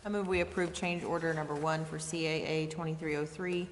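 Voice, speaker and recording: A woman's voice: low voice, fairly even intonation, brisk. A woman speaking as a council member, formally stating a motion at a meeting. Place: indoor council chamber. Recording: distant reverberant chamber, faint noise.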